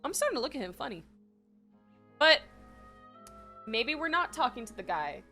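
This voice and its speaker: A woman's voice: high voice, big pitch swings, normal speed, pushed and bright. A woman gaming streamer giving casual, playful and exclamatory reaction commentary. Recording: game music behind the voice.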